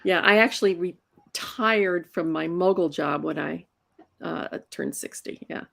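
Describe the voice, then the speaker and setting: A woman's voice: lowish voice, unhurried, vocal fry, fairly bright tone. A woman in her sixties, a comics inker, talking casually in an interview and often restarting her words. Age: 60s. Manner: chatty, repeats words when restarting.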